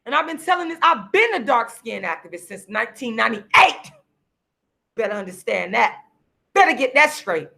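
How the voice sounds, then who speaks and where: A woman in her forties, losing her voice, delivering a heated, repetitive, preachy rant straight to the camera while she sits signing books, sometimes breaking into shouts. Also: fairly high pitch, big pitch swings, moderate pace, vocal fry. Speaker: vlogger and activist. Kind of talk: impassioned direct-to-camera rant. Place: seated at home signing books.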